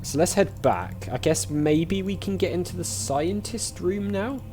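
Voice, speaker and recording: A man's voice: sits high, a little slow, a little mellow, faint music behind. A man giving casual let's-play commentary as a channel host, chatting and thinking aloud in questions as he plays. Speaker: video game player and channel host.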